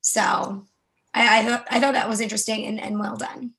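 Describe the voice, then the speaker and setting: A woman's voice: on the high side, flat delivery, moderate pace, a bit bright. A woman giving casual, appreciative feedback in a tentative, hedging way, full of "like" and "you know". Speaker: workshop participant giving feedback.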